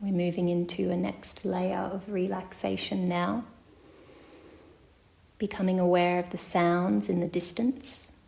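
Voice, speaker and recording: A woman with a slightly low voice guides a yoga nidra practice. Her delivery stays flat and steady, giving calm, measured instructions. She leaves long pauses between phrases, as a meditation teacher does. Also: creaky.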